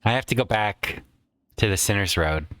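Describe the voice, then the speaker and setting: A man's voice: fairly low pitch, expressive, normal speed, a bit bright, no room sound. A man casually commenting while playing a video game, chatty and rambling with some swearing, his voice tired from a lot of recent use. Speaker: let's play host.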